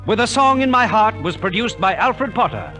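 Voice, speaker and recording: Animated, theatrical 1950s radio compere, male. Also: high-pitched, normal speed, soft background music, poor audio. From Australia. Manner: formal, theatrical announcer delivery. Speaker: compere and narrator. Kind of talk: 1950s radio scripted narration. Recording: 1950s radio transcription recording.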